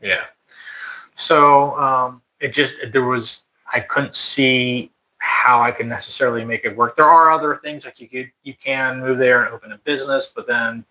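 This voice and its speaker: A man's voice: medium pitch, fairly even intonation, normal speed, pushed and bright, low-quality recording. A young American man talking casually about moving abroad, rambling with lots of fillers and restarts. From United States. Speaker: interviewee. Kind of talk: casual conversation.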